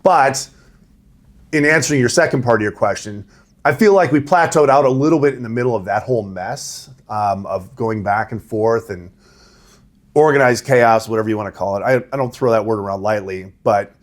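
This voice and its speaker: A middle-aged man with a big voice, a rowing coach answering interview questions in a relaxed, conversational way. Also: fairly low pitch, normal speed. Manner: conversational, laughs easily, says right? and you know.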